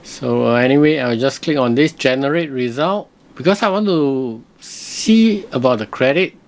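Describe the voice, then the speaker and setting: A man giving casual screen-recorded software review commentary, thinking aloud in simple, hesitant phrases. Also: medium pitch, normal speed.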